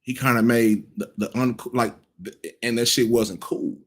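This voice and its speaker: A man's voice: mid-range voice, steady tone, moderate pace, a bit bright. A man in his thirties giving casual cultural commentary, blunt and profane, rambling with frequent restarts. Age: 30s.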